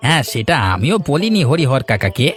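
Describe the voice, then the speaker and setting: A man's voice: mid-range voice, sing-song, fairly quick, soft background music, close to an everyday voice. A man voicing a bossy, grumbling older village uncle in a cartoon. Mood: hearty, familiar. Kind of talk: Bengali cartoon voice acting.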